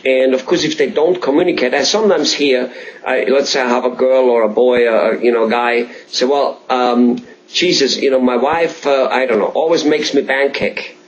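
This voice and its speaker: A man with an Austrian accent, a native German speaker, interviewing conversationally in a halting, repetitive, emphatic way with rambling examples. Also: medium pitch, fairly even intonation, poor audio. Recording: faint background noise.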